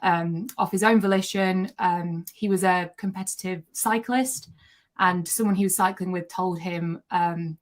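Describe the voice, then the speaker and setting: A woman's voice: lowish voice, steady tone, unhurried. A woman answering interview questions about her upbringing in a matter-of-fact way. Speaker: former member raised in the group.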